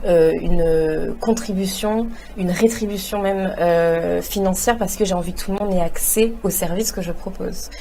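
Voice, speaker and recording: A woman's voice: medium pitch, steady tone, moderate pace, hardly any pauses, warm tone, a little hiss or hum. A woman, a talk show guest answering earnestly and enthusiastically, with many fillers and restarts. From Réunion. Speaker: guest, entrepreneur. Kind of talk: conversational interview answers.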